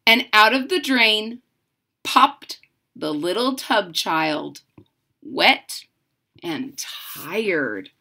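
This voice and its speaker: A middle-aged woman reading a picture book aloud to children, dramatic and deliberate, with simple explanatory asides. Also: medium pitch, lively intonation, gravelly, pushed and bright. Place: indoors, small home room.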